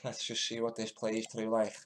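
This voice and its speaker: A man's voice: on the low side, completely flat delivery, normal speed, slight background noise. A male stream host giving live, mocking commentary, laughing, swearing and muttering asides as he goes. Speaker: channel host.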